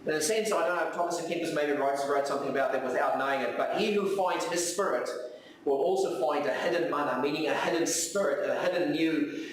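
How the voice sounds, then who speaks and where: A South African man, native in Afrikaans, delivers an earnest sermon in a fairly high voice. He repeats phrases and poses questions to his listeners. The sound is heavily reverberant and picked up from some distance, with faint noise. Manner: earnest, rhetorical repetition and questions. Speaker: preacher. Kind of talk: sermon with reflective readings. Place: church hall, live service. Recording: very echoey room, distant mic, faint noise.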